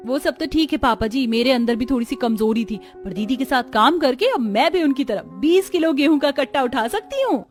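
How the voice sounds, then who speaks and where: A woman's voice: high voice, lively intonation, moderate pace, hardly any pauses, soft background music. A woman playing an eager young modern daughter-in-law in a cartoon, polite and earnest. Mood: pleased.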